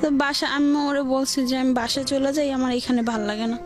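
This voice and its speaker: A woman's voice: high-pitched, little pitch movement, a little fast. A woman with a Bangladeshi accent telling her own life story on a radio show, in a hesitant, colloquial way. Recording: music behind the voice.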